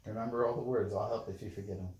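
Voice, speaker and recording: A man speaking casually and gently as an encouraging parent to small children. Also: on the low side, fairly even intonation, rapid-fire, dull audio. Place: small child's bedroom, indoors. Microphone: handheld phone. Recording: roomy home recording with noise.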